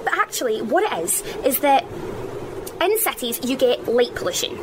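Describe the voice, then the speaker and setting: Radio drama role: chatty, bossy island schoolgirl vlogger, high voice, clear background noise. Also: sing-song, normal speed. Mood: chatty explaining. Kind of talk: radio drama acting as a casual phone vlog.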